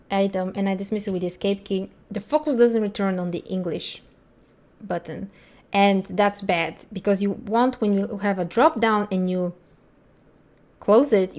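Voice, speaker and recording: A woman's voice: medium pitch, darkish tone. Woman with a Bulgarian first language, blunt. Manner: thinking aloud while testing, candid and blunt. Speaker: accessibility instructor. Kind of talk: screen-recorded tutorial, live commentary. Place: voice-over on screen recording.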